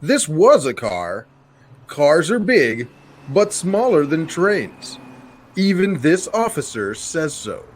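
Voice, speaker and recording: A man playing a pompous TV news anchor in a parody newscast, explaining things in a flat, over-simple way. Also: on the high side, takes it slowly, slight background noise. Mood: deadpan.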